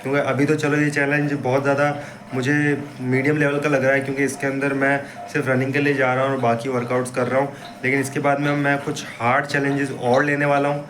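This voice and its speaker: Hoarse young man vlogging with road noise. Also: medium pitch, level tone, quick pace. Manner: upbeat, pep-talk style, addresses viewers as guys. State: hoarse, blocked nose. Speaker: fitness vlogger. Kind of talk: casual vlog talk to camera. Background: street traffic and wind.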